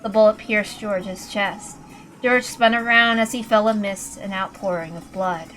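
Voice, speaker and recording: A woman's voice: medium pitch, fairly even intonation, unhurried, a bit bright, rough recording. A woman reading a visual novel aloud as narrator, in a dramatic storytelling style. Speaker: narrator and reader. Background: faint background music.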